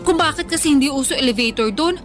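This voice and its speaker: A young Filipino woman who loves her food and refuses to be told otherwise. Her voice sits high, whiny and chatty, always on the defensive. It is radio drama dialogue with music clearly underneath. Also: quick pace, a bit bright. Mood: indignant.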